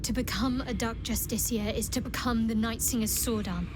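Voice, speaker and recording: Woman playing devout young female cleric, fantasy game dialogue, earnest, measured, fairly high voice, clear background noise. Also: steady tone, lightly acted. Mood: devout, solemn. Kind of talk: video game character dialogue. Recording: clear ambient noise behind the voice.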